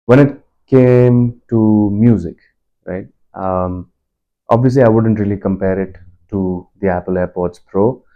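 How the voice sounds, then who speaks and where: A man giving a casual product review in an explanatory, measured, conversational way. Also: on the low side, phone-quality sound. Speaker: product reviewer and motorbike commuter.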